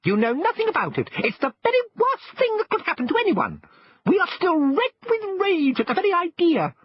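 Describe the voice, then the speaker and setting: Tiny irate storybook shrimps, haughty and English. The voice is very high and shrill, far above a natural speaking pitch, on a slightly dull recording. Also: male voice, huge pitch swings, a little fast, no room sound, heavily acted. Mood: outraged.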